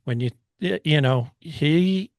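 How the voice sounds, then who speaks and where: A man's voice: fairly high pitch, slow pace, a little mellow, no room sound. A man co-hosting a podcast, chatting casually and explaining things like a knowledgeable enthusiast. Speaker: podcast co-host. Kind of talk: casual movie review discussion.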